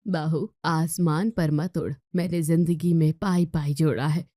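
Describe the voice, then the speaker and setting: A woman's voice: sits low, fairly even intonation, a little slow, dark tone, dry recording, clean studio sound. A woman voicing a weary, firm middle-aged mother-in-law who scolds in a family storybook tale. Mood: stern.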